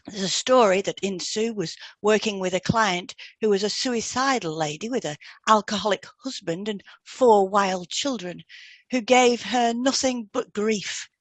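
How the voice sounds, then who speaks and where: A woman's voice: mid-range voice, measured pace, no room sound. A woman co-hosting an educational podcast, reading scripted explanations in an instructive manner. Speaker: podcast co-host and hypnotherapy trainer. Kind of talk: scripted educational podcast dialogue, read aloud.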